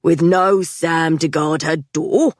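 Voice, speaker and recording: A smug young man who drawls and mocks, as a woman narrator plays him. He sits slightly lower than her own voice and takes his time, recorded close and dry. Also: measured pace, no room sound. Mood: mocking. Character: bossy, mocking young man, an older brother.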